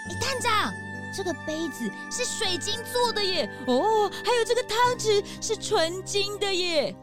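Young sidekick for kids' radio drama: gushing, high, bouncy intonation, drawn-out exclamations. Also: female voice, lively intonation, over music. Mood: playful, awed. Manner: exclamatory, drawn-out sentence endings. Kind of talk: children's mystery audio drama.

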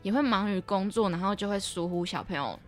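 Lower-pitched Taiwanese woman over music. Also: expressive, fairly quick. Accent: Taiwanese Mandarin. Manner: reflective, personal storytelling. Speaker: podcast host and radio drama writer. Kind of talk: casual conversational interview.

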